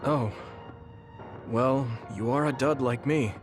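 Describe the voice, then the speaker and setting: A man's voice: mid-range voice, slow, lightly acted. A man voicing an earnest, loyal young male soldier who speaks sincerely and reassuringly. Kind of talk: game cutscene dialogue. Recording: game audio with music behind.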